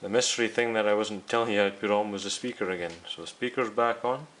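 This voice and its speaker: A man's voice: fairly low pitch, fairly even intonation, fast talker, fairly bright tone, rough recording. A man hosting a hands-on repair video, talking casually and explaining each step directly to his viewers. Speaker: host of a radio restoration series.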